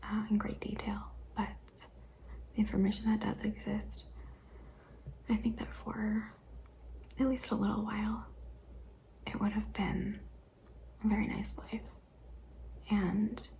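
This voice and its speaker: A young woman whispers through a get-to-know-me questionnaire for an ASMR channel, her voice mellow and dark with a gravelly edge. She talks in a flat, even line, pausing on ums and small laughs. A faint hiss sits under the recording. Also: medium pitch, low-quality recording. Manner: mostly whispered, hesitant with frequent ums and soft laughs. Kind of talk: soft-spoken ASMR questionnaire.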